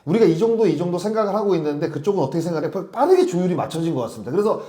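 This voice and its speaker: A man's voice: sits high, big pitch swings, very fast, warm tone, reverberant. A man giving casual, detailed baseball analysis, explaining at length and often adding his own view. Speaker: baseball commentator.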